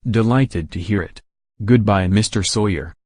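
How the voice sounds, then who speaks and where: A man's voice: fairly low pitch, dry recording, studio quality, close to an everyday voice. A man playing a kindly, self-assured old man who claims to be Santa Claus, in radio drama style. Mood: gently needling. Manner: unhurried, gently needling, cheerfully self-assured.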